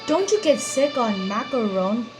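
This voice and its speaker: A woman's voice: a little high, big pitch swings, normal speed. A woman hosting a casual gameplay video, commenting and reading dialogue choices aloud. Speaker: gaming video host. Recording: loud game music over voice. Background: game music.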